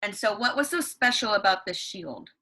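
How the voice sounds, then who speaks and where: A woman's voice: medium pitch, expressive, moderate pace, bright, forceful tone. A young woman teaching young students from home over her computer, upbeat, asking questions and answering them herself. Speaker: elementary school teacher. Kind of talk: read-along lesson for young students at home. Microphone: computer microphone.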